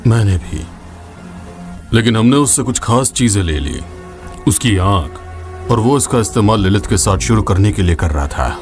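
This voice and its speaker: An actor playing a grave, wary veteran demon hunter and scholar in a fantasy game dub, solemn and measured. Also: male voice, lowish voice, expressive, lightly acted. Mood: grave, pondering. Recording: music behind the voice.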